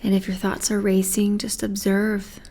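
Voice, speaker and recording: A woman leading a guided meditation in a soothing, measured manner, with breathy phrase endings and pauses between phrases. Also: medium pitch, level tone, mellow.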